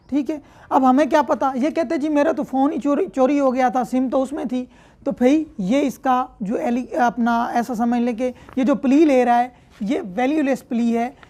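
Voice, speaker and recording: Female law lecturer, Pakistani accent, highish pitch, teaches step by step. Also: darkish tone. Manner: explains step by step with everyday examples and frequent check-in tags.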